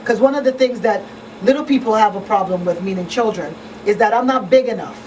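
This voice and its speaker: A woman's voice: mid-range voice, brisk, a little mellow, distant mic. Female talk radio panelist, conversational explainer, slightly off-mic with light background noise. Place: small radio studio, indoors. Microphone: studio microphone on boom arm. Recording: roomy off-mic sound, faint background noise.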